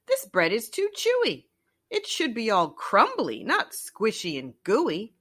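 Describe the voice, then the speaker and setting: A woman's voice: on the high side, wildly swooping pitch, measured pace, a bit bright, voice pushed up, exaggerated voice. Woman voicing a whiny, greedy little storybook goat. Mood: disgusted. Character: greedy, impatient young male goat, guilty and blurting.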